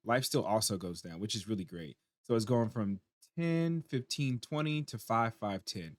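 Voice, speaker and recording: A tired man with a hoarse voice, casually talking through game patch notes at his computer and reacting as he reads. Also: on the low side, expressive, normal speed, no echo. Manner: chatty, reacts aloud while reading on screen. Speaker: gaming channel host.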